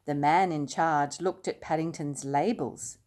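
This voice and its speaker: An older woman reading a children's story aloud, expressive, with simple questions and asides to young listeners. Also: low voice, a little slow.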